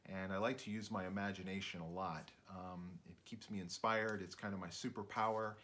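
A man's voice: low voice, fairly even intonation, brisk, sharp tone, slight background noise. A man in his fifties, a children's author, speaking informally and reading storybooks aloud at home in his book-lined studio.

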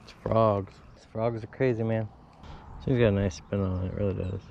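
A man's voice: lowish voice, steady tone, normal speed, creaky, slight background noise. A man chatting to viewers while fishing at a pond, calling each cast and bite as he goes. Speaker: fishing vlogger. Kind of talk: casual play-by-play while fishing. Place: outdoors by a pond. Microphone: head-mounted action camera. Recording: dull lo-fi outdoor camera audio.

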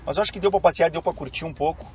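A young Brazilian man from the far south chats casually to his viewers from behind the wheel, his gaucho accent clear and his voice a little hoarse from a cold. He sits on the higher side for a man, and the sound is picked up inside the car by a dash-mounted camera, with faint music in the background. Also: rapid-fire, darkish tone. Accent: southern Brazilian, Rio Grande do Sul. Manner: chatty, addresses viewers directly. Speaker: YouTuber. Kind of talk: casual driving vlog chat. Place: inside a car in city traffic. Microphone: camera mounted on dashboard.

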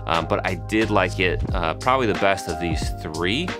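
A man in his thirties with a low, nasal voice talks easily to camera, dotting his sentences with fillers. He sits close to a studio mic in a small home room. A clear music bed plays under him. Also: moderate pace. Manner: relaxed, chatty, with frequent fillers. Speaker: video host testing microphones. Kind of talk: casual gear review to camera. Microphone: studio microphones close in front.

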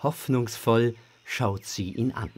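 A man with a husky voice, narrating a scripted children's story expressively. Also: medium pitch, slow pace, a little mellow.

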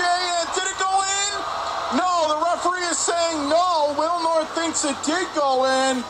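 A man giving live radio-style hockey play-by-play in clipped phrases, getting excitable on big plays. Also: extremely high pitch. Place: indoor ice hockey arena. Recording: clear rink noise behind. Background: arena ambience and noise.

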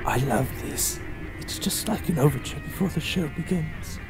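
A man's voice: a little high, normal speed, over music, lightly acted. An actor playing an eerie, overly polite and cheerful young man hitchhiking with kidnappers. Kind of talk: audio drama acting.

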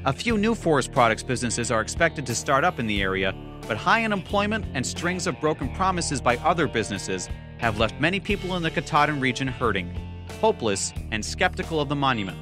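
A man's voice: medium pitch, sing-song, a little fast, music in the background. A man narrating a travel news feature in scripted voice-over, with wry, dry humor. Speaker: travel show host and narrator.

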